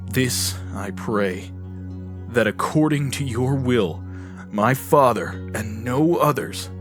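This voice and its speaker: A man playing a solemn, noble warrior leader in a fantasy audio drama, speaking in a grave, deliberate, formal way. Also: mid-range voice, natural voice. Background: background music.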